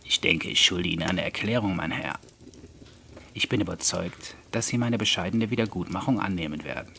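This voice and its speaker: Man acting a low-voiced, courteous, mysterious gentleman. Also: vocal fry, slight background noise, heavily acted. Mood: grave, courteous. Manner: formal, polite, measured. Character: courteous, grave, mysterious gentleman ghost in Victorian dress. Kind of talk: audiobook character dialogue.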